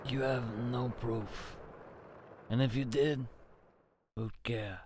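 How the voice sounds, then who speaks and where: An actor playing a smug, powerful tycoon villain in a noir audio drama, speaking in a measured, haughty way with cool menace. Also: male voice, mid-range voice, steady tone, noisy background, natural voice. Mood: cold, dismissive. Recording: loud music under the voice.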